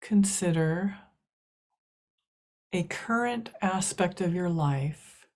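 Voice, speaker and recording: A woman leading a guided meditation in a soft, hushed manner, leaving long pauses between short phrases. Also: on the low side.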